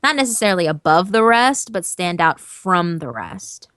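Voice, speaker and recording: A woman's voice: lowish voice, normal speed, smooth, dry recording. Emphatic woman horror narrator, dry sound. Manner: animated and emphatic, swears casually. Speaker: horror story narrator and guest. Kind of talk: casual interview chat.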